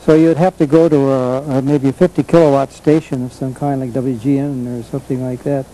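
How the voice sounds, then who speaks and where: An older American man hosting a casual interview, speaking haltingly and often repeating his phrases. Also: mid-range voice, fairly even intonation, dark tone, poor audio. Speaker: talk show host. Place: community television studio.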